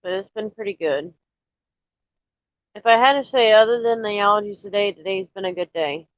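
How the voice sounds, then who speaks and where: A woman chatting casually to viewers on a live stream, a little nasal and stuffy from allergies, rambling in a relaxed way. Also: mid-range voice, normal speed, no echo, dull audio. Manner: rambling, relaxed, talks straight to chat.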